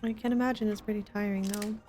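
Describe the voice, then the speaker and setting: A woman's voice: medium pitch, fairly even intonation, quick pace, a little mellow. A woman streaming a video game, chatting casually and addressing her viewers as she plays. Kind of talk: casual livestream gameplay chat. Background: video game music.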